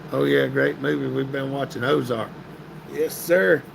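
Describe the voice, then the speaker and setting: Male streamer, folksy, greets chat by name, voice going hoarse, gravelly edge, light background hiss. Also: medium pitch, fairly even intonation, a little slow. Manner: folksy, answering viewer comments by name. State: getting hoarse and stuffy. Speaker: livestream host reading chat. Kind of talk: casual live-stream chat. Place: indoor workshop room, sitting at a metal table.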